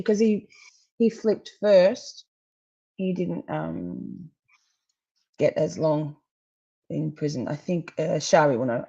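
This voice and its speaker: A woman's voice: fairly low pitch, mellow, muffled recording. Wheezy, rambling woman streamer. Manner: chatty, rambling, self-correcting. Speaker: livestream host commenting on a trial. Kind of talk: casual live-stream commentary. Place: at home with pets.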